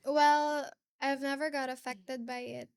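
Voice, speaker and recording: A young Filipino woman in her late teens speaks with a Filipino accent, her voice sitting high and her pace unhurried. She answers interview questions carefully, pausing on fillers. The sound is dry and close, with little room around it. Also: flat delivery, dry recording. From Philippines. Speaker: guest, pageant winner.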